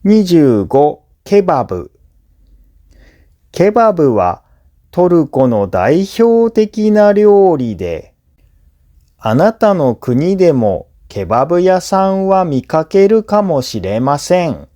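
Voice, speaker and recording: A man who is a native Japanese speaker, reading scripted listening practice for learners in a clear, simple explanatory way. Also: a little high, sing-song, slow, warm tone. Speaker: language teacher and narrator.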